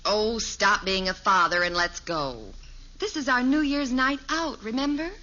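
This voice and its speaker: An actress playing a brisk, exasperated middle-aged American wife and mother, practical and impatient, in 1950s radio sitcom style. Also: a little high, bright, forceful tone. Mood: impatient, insistent. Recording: muffled, noisy old radio recording.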